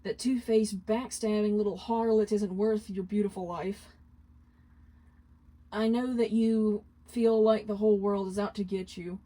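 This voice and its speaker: A composed male demon played by a woman speaks in a soft, measured way at a middle pitch for a female voice. He is serious, protective and tender, a character in a dramatic fan story read aloud. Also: fairly even intonation, lightly acted, low-quality recording. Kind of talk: fanfiction reading.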